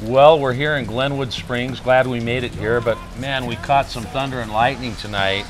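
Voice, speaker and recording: A man's voice: mid-range voice, fairly even intonation, moderate pace. Middle-aged American man chatting over music. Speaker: motorcycle travel vlog host. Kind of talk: casual chat to camera. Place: restaurant table and rainy downtown street at night.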